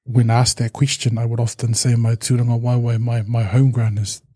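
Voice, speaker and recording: Samoan-New man, mellow and reflective. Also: mid-range voice, flat delivery, moderate pace, no room sound, clean studio sound. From New Zealand. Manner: reflective, with many restarts and fillers. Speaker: podcast guest. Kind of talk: casual interview talk.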